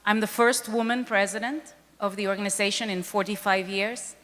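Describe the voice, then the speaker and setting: An earnest woman from Kenya addresses a panel audience at an event, stressing her message and coming back to it again and again. Her voice sits at a middle pitch. Long reverb from the large hall trails behind every word. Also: fairly even intonation, normal speed, a bit bright. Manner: earnest, emphatic, repeats key points. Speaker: panel speaker, foundation president.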